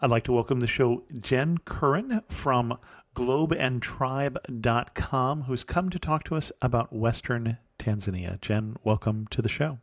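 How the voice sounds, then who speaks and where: A man's voice: medium pitch, measured pace, no echo. A man hosting a travel podcast, reading sponsor spots and interviewing a guest in an upbeat way. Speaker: podcast host.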